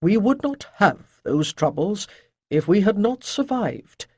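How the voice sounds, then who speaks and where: A narrator acts out an elderly male priest from a fantasy tale. He teaches calmly and chides gently in a husky voice, pausing for weight and moving expressively up and down in pitch. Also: dry recording, studio quality, heavily acted. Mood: gentle, earnest. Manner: measured, declamatory, pausing for weight. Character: wise old male priest, calm gently chiding teacher.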